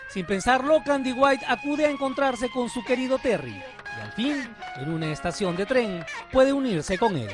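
A man's voice: extremely high pitch, sing-song, moderate pace. A man narrating a scripted television feature as a reporter, in a dramatic storytelling manner. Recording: studio voice-over with loud music bed. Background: loud music.

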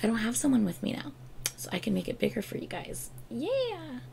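Woman, thirties, hoarse, gravelly, higher-pitched, chatty and gushing, home desk webcam. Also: fairly quick, a little hiss or hum. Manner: excitable, chatty, gushing with laughs and exclamations. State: losing her voice, hoarse. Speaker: K-pop reaction YouTuber. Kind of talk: casual reaction vlog. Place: home room with shelves of albums and collectibles, indoors. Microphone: webcam framing at desk.

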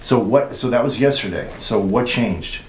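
Low-voiced middle-aged dentist, echoey room. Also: male voice, animated, normal speed. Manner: leading, uses tag questions and jargon. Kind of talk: clinical interview with a patient. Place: dental clinic treatment room. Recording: echoey clinic room, faint hiss.

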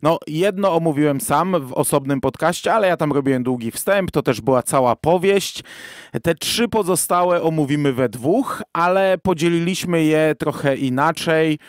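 Man, podcast host, slightly high pitch, conversational, thinking aloud, dry sound. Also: normal speed, no echo. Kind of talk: casual podcast talk.

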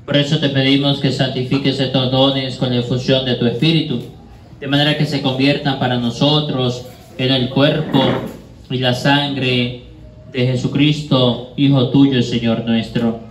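Solemn priest in an echoey room. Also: male voice, mid-range voice, little pitch movement. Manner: solemn, measured recitation. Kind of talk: liturgical prayer at Mass. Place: small bare indoor room. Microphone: handheld microphone. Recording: echoey room, distant, background noise.

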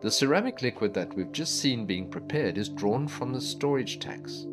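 A man giving scripted documentary narration about a factory in a measured, explanatory delivery. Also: mid-range voice, lively intonation. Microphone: studio voice-over. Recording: voice-over with clear background music.

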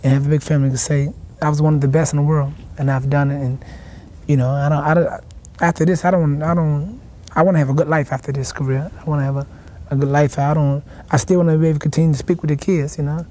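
Fast-talking young man, halting. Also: fairly high pitch, steady tone, dark tone. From South Carolina, raised in Washington, D.C. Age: young adult. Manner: halting, repeats and restarts words. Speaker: boxing champion guest. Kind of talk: casual TV interview answers. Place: TV studio set.

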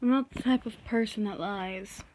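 A woman playing a reserved office boss who is guarded but softening, speaking haltingly in an intimate ASMR roleplay. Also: medium pitch, noisy background, lightly acted. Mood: hesitant, softening. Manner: halting, measured delivery with sighs.

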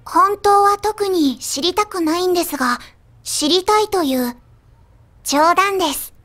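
A woman playing a quirky teenage girl idol with a deadpan, polite delivery in an anime audio drama. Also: extremely high pitch, measured pace. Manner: deadpan, flat delivery of jokes. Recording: music under the voice.

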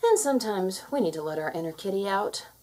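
A woman's voice: medium pitch, lively intonation, fast talker, darkish tone, lightly acted. A woman voicing a confident, bossy alpha cat who lectures kittens, in a theatrical storybook read-aloud style. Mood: proud.

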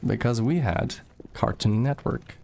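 A man's voice: mid-range voice, unhurried, vocal fry, a little mellow. A young man from Moldova, chatting casually while streaming a game, swearing freely and reading chat aloud. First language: Russian.

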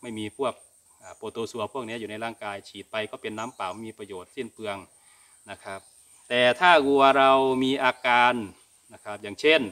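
Bright-voiced middle-aged Thai man explaining. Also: medium pitch. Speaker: cattle farming channel host. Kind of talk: informal explainer answering viewer questions. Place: open-sided cattle barn.

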